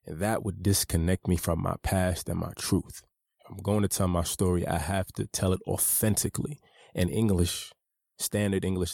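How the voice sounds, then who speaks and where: Low, gravelly man reading his memoir. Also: a little mellow, no echo, clean studio sound. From United States. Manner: measured, earnest, personal. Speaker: author narrating his own audiobook. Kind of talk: reflective memoir narration.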